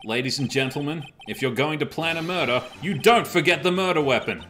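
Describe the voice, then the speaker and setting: A man playing an earnest, excitable defense attorney, arguing emphatically in a fan dub of a courtroom game. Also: on the high side, fairly quick, soft background music, natural voice. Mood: assertive. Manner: emphatic, argumentative.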